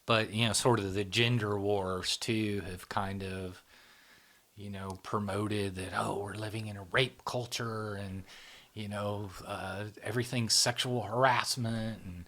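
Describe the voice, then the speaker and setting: A man's voice: lowish voice, fairly even intonation, fairly bright tone. A man hosting a podcast, talking casually and working through ideas aloud, often checking in with "you know" and "right?". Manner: conversational, drawn-out, frequent "you know" and "right?". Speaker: podcast host. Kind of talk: casual discussion.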